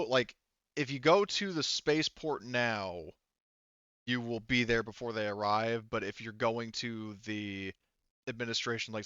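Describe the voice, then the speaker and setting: A man improvising narration as a tabletop game master, casual and halting as he thinks aloud. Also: medium pitch, a bit bright, smooth, no room sound, highs cut off.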